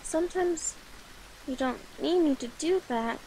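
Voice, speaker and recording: A woman voicing a shy, devoted catgirl familiar in an ASMR roleplay, halting, flustered and cutely pleading. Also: sits high, warm tone, a little hiss or hum, rough recording. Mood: shy, affectionate.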